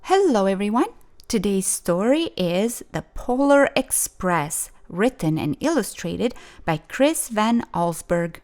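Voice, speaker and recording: A woman reading a children's story aloud in an expressive, deliberate, dramatic storytelling style. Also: medium pitch. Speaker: children's storybook reader, host.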